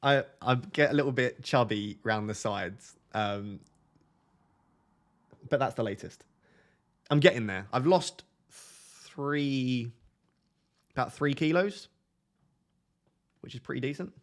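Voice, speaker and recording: Young man, monotone, casual live-stream host, self-deprecating. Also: mid-range voice, slow, pauses often. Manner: self-deprecating, chatty, reads out chat messages. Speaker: livestream host. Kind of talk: casual live-stream chat, answering viewers.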